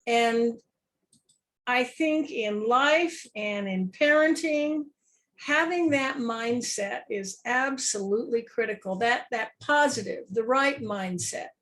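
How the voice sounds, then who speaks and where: A woman hosting a parenting podcast, opening the show and interviewing a guest over a video call, deliberate and stretching key words. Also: fairly high pitch, a bit bright. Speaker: podcast host.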